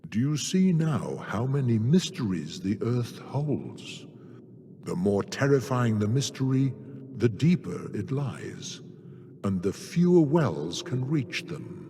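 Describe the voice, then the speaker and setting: An ominous, eerie man from a video game. He talks slowly in a theatrical way, with wildly varied pitch. A long reverb surrounds the voice. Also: sing-song, mellow, slightly rough, heavily acted.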